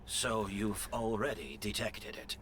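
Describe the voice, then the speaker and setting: A man's voice: fairly low pitch, fairly bright tone, slight background noise. A man voicing a grave, weary, knowing master in a dramatic video game. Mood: solemn explanation. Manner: measured, solemn.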